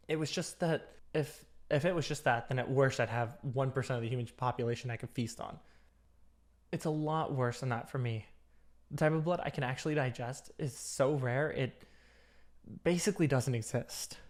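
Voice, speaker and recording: An obsessive vampire lab technician, acted out by a performer for an ASMR roleplay. The voice sits mid-low and talks intimately and chattily. The coaxing carries a dominant, starved menace. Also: darkish tone, close to an everyday voice. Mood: confessional.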